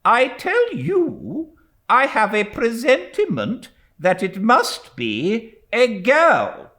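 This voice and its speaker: A man's voice: sits high, wildly swooping pitch, takes it slowly, a bit bright, voice pushed up, exaggerated voice. A man voicing a stern, domineering elderly aunt in a storybook reading, with a commanding, clipped and indignant manner. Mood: imperious.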